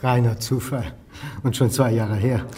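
An actor playing a self-doubting, middle-aged lecturer in a radio drama, muttering with dry, self-deprecating wit. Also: male voice, medium pitch, steady tone, measured pace, crowd noise, natural voice. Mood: dry, evasive. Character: self-doubting, brooding, dry-witted male history lecturer. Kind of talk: naturalistic radio drama acting.

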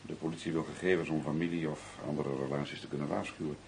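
An actor playing a courteous hospital staff man in a radio play, formal, measured and matter-of-fact. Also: rumbling low, steady tone. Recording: echoey room, clear background noise.

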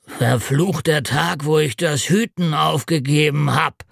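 Hungover older road worker, high whiny groaning voice, slow dragging delivery. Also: male voice, creaky, no room sound, studio quality. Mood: grumbling. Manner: groaning, wailing, slurred complaints. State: drunk and sick.

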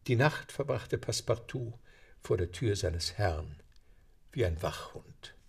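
A man's voice: lowish voice, animated, measured pace, vocal fry, darkish tone, breathy edge. A man narrating a German audio drama as a dramatic, scripted storyteller. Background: background music.

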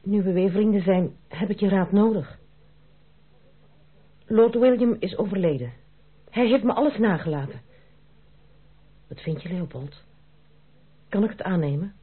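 A woman's voice: mid-range voice, a little slow, long pauses, breathy edge. An actress playing a proud, independent young noblewoman in love, speaking with earnest, formal diction in a Dutch radio play. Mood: earnest, composed. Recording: muffled, narrow-band old radio recording.

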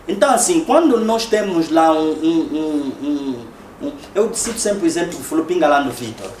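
A man's voice: fairly high pitch, lively intonation, normal speed, a little mellow. Angolan man lecturing in echoey room. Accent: Angolan Portuguese. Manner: conversational, rhetorical tag questions. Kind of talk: public lecture. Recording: echoey room, distant mic, noisy.

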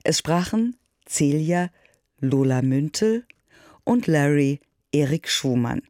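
A formal radio announcer delivering scripted credits. The delivery is unhurried, at a middling pitch, recorded in a dry studio. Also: animated, darkish tone, dry recording.

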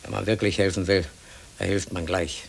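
An actor playing a despairing middle-aged unemployed man who pleads haltingly, in a German radio play. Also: deep voice, level tone, gravelly, a little hiss or hum. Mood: resigned.

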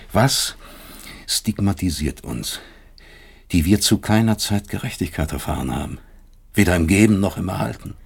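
An actor playing a bitter, brooding middle-aged doctor and professor in a German radio drama, speaking deliberately and rhetorically with sardonic emphasis. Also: male voice, low voice, husky, heavily acted. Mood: bitter, reflective. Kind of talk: German radio drama acting, dialogue and inner monologue.

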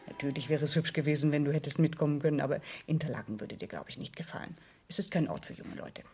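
Fussy, low-voiced rich aunt. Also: female voice, lively intonation, fast talker, vocal fry, mellow, husky, faint music behind, heavily acted. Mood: brisk, placating. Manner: fussy, insistent. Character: wealthy, status-anxious aunt, brisk and evasive. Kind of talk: German radio play acting.

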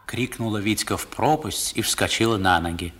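Wistful literary narrator, lowish husky voice, expressive measured storytelling, vintage radio recording. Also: male voice, some chatter behind. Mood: wonder. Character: wistful male narrator recalling boyhood. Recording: old 1960s radio recording.

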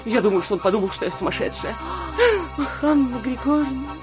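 An actress playing an excitable, breathless provincial society lady who gossips with gushing, theatrical alarm in an audio drama. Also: a little high, brisk, music in the background, lightly acted. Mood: excited, alarmed. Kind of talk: audio drama acting of classic literature.

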